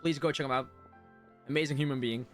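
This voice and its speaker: A man livestreaming a game, chatting casually with viewers and thinking out loud as he reacts. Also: medium pitch, fairly even intonation, fast talker, faint music behind. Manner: chatty, reads chat aloud, thinks out loud. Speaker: game streamer.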